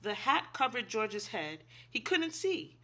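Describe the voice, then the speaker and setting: A woman's voice: fairly high pitch, very animated, measured pace, pushed and bright, a little hiss or hum. A woman reading a children's picture book aloud expressively, in an instructive way, asking young listeners simple questions. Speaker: read-aloud storyteller, teacher.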